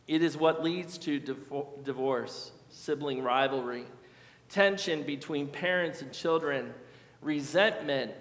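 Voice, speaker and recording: A middle-aged man preaching a sermon from a lectern in a large church sanctuary, speaking in an earnest, measured way with slow emphatic repetitions. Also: a little high, a bit bright. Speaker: pastor. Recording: echoey church hall.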